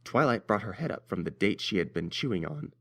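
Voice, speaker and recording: A man's voice: fairly low pitch, expressive, brisk, a bit bright. A man reading scripted story narration as the narrator.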